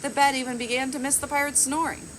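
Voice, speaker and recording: Woman doing library storytime, kinda high voice, expressive reading, outside w clear bg noise n bugs. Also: fast talker. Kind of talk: reading a picture book aloud. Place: outdoors on a garden bench. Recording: outdoor background noise with insects.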